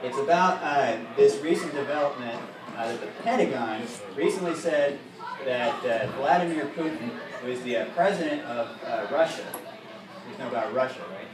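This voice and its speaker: A man co-hosting a live comedy show, reading a mock news report in a halting, dry way full of "uh"s. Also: medium pitch. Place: comedy bar stage with live audience. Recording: echoey live room from far off, loud crowd.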